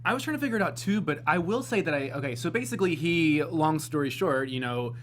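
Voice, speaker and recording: A man's voice: fairly high pitch, animated, fast talker, a little hiss or hum. A man co-hosting a TV recap show, retelling acts at length with lots of fillers. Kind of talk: casual panel chat.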